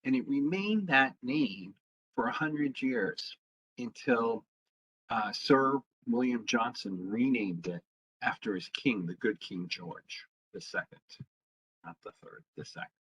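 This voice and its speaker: A man, a town historian, giving an informal public history talk, pausing often with ums and uhs. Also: medium pitch, expressive, fairly bright tone, a little hiss or hum. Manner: halting, fills pauses with frequent ums. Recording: dull lo-fi sound.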